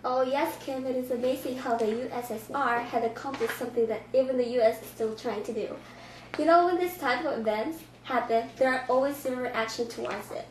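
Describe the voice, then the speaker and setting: Young female student co-anchor, fairly high voice, reading news lines in an echoey room with slight noise. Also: brisk. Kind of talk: scripted amateur newscast.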